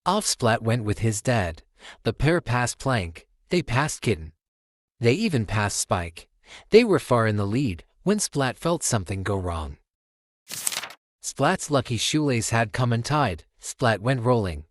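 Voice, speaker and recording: A man's voice: mid-range voice, very animated, measured pace, no room sound, studio quality. A man narrating a children's storybook expressively as a storytime reader.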